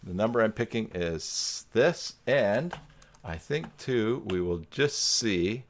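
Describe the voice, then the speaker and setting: Low-voiced man hosting a casual live stream. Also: animated, takes it slowly, a little hiss or hum. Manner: joking, teasing. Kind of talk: casual video call chat on a live stream.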